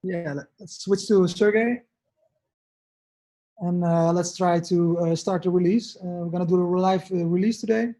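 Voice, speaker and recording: A man with a high voice and a mellow, soft tone. He talks informally and a little hesitantly over a video call. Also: steady tone, normal speed. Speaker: core team member. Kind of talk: casual livestream talk.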